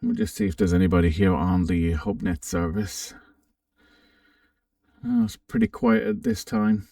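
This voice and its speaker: A low-voiced man with a gravelly edge presents software in a casual walkthrough. His delivery swings freely in pitch as he thinks aloud, and it comes from a roomy spot, slightly away from the microphone. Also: sing-song, a little slow, clean studio sound, distant mic. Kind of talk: casual unscripted walkthrough commentary.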